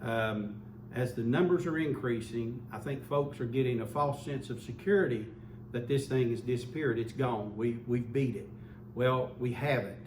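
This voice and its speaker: A man's voice: mid-range voice, normal speed, darkish tone. A man giving an earnest public health update as a local official, often repeating words as he restarts. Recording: echoey room, mic far off, faint hum.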